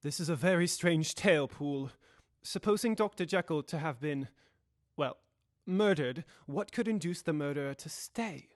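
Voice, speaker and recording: A young man playing a sober, sceptical gentleman lawyer in an audio drama, speaking in a formal, measured way. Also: fairly high pitch, no echo, studio quality, lightly acted. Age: young adult.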